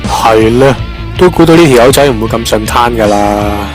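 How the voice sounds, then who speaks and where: A man's voice: mid-range voice, expressive, moderate pace, soft background music, lightly acted. A man playing a wisecracking young joke-teller who rambles along in an amateur radio drama, with a Hong Kong Cantonese accent. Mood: wry. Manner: rambling, jokey storytelling. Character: wisecracking young man, long-winded teller of absurd jokes.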